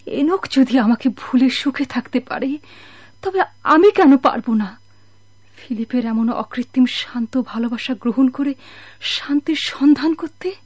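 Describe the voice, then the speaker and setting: An actress playing a sorrowful, torn mother in a radio drama, speaking haltingly in a breathy, melodramatic way. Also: high-pitched, darkish tone, dry recording. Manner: halting, anguished, breathy pleading with repeated words. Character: sorrowful, torn mother waiting for a lost husband.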